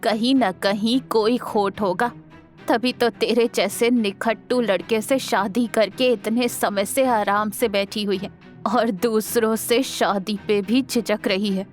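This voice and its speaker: Domineering, scornful old matriarch played by an actress. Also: female voice, mid-range voice, steady tone, slow, soft background music, lightly acted. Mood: mocking. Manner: taunting, commanding. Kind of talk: Hindi audio drama acting.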